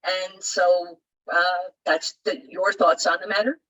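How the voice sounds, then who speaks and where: Bright but husky woman, halting. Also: on the low side, steady tone, poor audio. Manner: halting, tentative. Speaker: audience member asking a question. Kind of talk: casual question on a video call. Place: at home on a video call.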